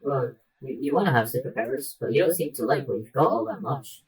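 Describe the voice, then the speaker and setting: A very husky cranky robot sidekick in a superhero radio play, talking casually and coaxingly like a mentor. The voice is rough and sits a little away from the mic. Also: mid-range voice, expressive, fairly quick, recorded from a distance, low-quality recording. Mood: wry, reassuring.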